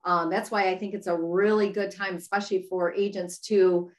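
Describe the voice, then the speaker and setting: A woman with a slightly squeaky voice, chatting candidly and upbeat about real estate work in a casual livestream. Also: steady tone, moderate pace. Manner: candid and upbeat, laughs at herself. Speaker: real estate broker support staff. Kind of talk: casual chat.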